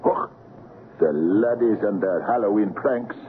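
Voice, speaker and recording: A man's voice: on the low side, unhurried, natural voice. An actor playing a burly, sceptical Scottish man with a blunt manner in a 1970s radio drama. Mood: dismissive. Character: burly, sceptical, plain-spoken Scottish local man named Duncan. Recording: narrow, noisy 1970s AM radio recording.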